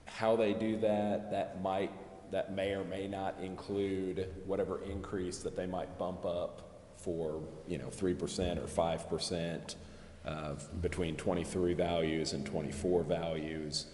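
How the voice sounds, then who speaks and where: A man advising at a council meeting, explaining in an unscripted, measured and hesitant way. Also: low voice, little pitch movement, a little mellow. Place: indoor council chamber. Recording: echoey hall with background noise.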